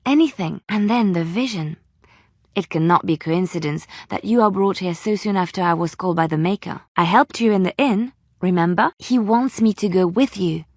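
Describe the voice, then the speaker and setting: A woman's voice: on the low side, normal speed, a little mellow, no room sound, clean studio sound. An actress voicing a devout, playful young woman adventurer in a video game, sincere and chatty in manner. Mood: earnest, pleading. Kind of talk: video game character dialogue.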